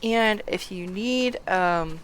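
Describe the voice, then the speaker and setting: A woman game streamer giving a casual live walkthrough, low-key and half murmuring to herself as she plays. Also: takes it slowly, slight background noise.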